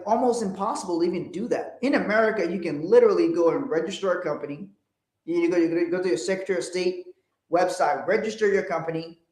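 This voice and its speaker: A man from Liberia, hosting a casual livestream about money and business, talking emphatically, repeating phrases and swearing bluntly. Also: sits high. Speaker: financial adviser host. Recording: echoey room.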